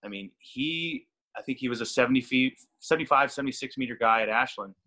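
A man's voice: mid-range voice, fast, sharp tone. A man, a throwing coach, chatting casually and often repeating his words as he talks. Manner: stammering, repeats words, laughs easily. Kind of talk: casual podcast chat.

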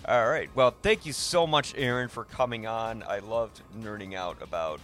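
A man hosting a podcast, casually discussing films in a rambling, halting way that often interrupts itself. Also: fairly low pitch, a bit bright, faint music behind. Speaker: podcast host.

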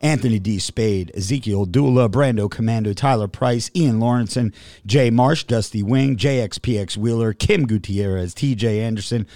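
Low-voiced man hosting a livestream. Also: normal speed, warm tone, no room sound. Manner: rambling, reads supporter names in a long run. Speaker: podcast host. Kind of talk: casual livestream podcast chat.

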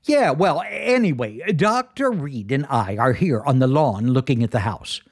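An actor playing a brash, joking skeptic of a disc jockey in an old radio drama. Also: male voice, medium pitch, animated, measured pace. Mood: breezy. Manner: flippant, joking, chatty. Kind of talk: 1940s radio acting, live broadcast patter. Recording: 1940s radio drama recording with music.

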